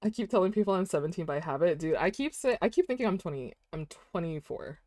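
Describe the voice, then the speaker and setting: A casual streamer in her twenties talks over her drawing, full of "dude" and "like" and quick laughs. Her voice is on the low side but swings up and down a lot. The sound is dry and close, with no room echo. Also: animated, mellow. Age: 20s. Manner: chatty, rambling, laughs often, says "dude" and "like".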